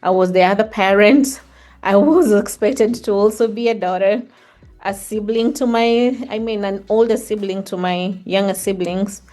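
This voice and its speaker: A woman's voice: medium pitch, moderate pace, a little mellow. A middle-aged woman with a Kenyan accent, reflecting on her life as an interview guest. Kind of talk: reflective personal storytelling in conversation.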